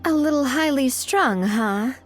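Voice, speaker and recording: A woman's voice: high voice, lively intonation, a little slow. A woman playing a friendly, easygoing young female investigator in an anime video game. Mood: wry. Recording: music playing behind the voice.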